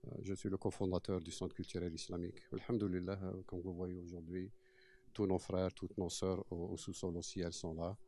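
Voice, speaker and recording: A man's voice: low voice, level tone, brisk, dark tone. A man speaking as cofounder of a cultural centre, giving an earnest testimony in a mosque and mixing Arabic phrases into his French.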